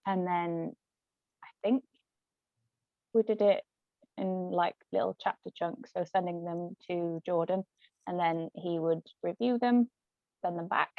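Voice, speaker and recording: A woman's voice: fairly low pitch, measured pace, pauses often, no echo. A woman author and ghostwriter chatting casually as an interview guest on a video call. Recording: thin phone-like call audio, dry.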